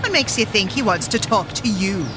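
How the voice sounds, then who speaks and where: An actress playing a brisk, impatient, bossy female mill worker in an audio drama, with old-fashioned working-class phrasing. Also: mid-range voice, animated, noisy background, close to an everyday voice. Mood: brusque, incredulous.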